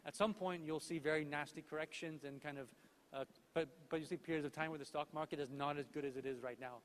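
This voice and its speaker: A man's voice: on the high side, steady tone, fast talker. A man giving a conference talk as an executive, in an informal but emphatic way. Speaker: company executive, conference speaker. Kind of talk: conference presentation. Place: large conference hall stage. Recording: echoey hall with long reverb.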